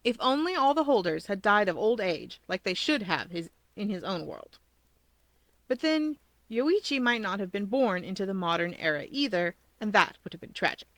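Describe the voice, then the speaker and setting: A woman's voice: medium pitch, very animated, normal speed, a bit bright, dry recording. A woman reading scripted fiction as a story narrator.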